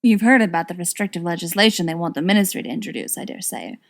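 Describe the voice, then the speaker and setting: A woman's voice: on the low side, quick pace, vocal fry. Woman voicing an earnest young male wizard zealot. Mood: indignant. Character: smooth young male wizard extremist, earnest, persuasive, bitter.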